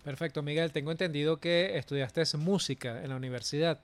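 A man's voice: on the high side, brisk, clean tone. A man hosting a radio news program, interviewing a guest in a polished, announcer-like way. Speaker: radio host.

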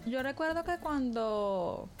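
A woman's voice: sits high, lively intonation, takes it slowly, soft background music. A woman interviewing a guest, asking long, hesitant questions full of fillers. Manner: hesitant and rambling, with many fillers.

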